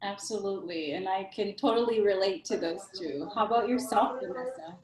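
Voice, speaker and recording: A woman moderating a student panel, friendly, asking questions and reacting with warmth. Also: mid-range voice, brisk, roomy sound, a crowd in the background, poor audio. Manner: friendly, asks questions, laughs along.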